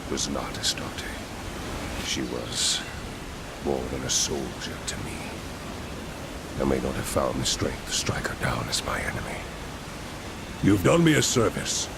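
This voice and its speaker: Deep, gravelly angel commander. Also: male voice, full character voice. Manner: solemn, formal, portentous. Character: proud, weary angel warrior commander, grave and formal. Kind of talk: video game cutscene acting. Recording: noisy rain-like ambience.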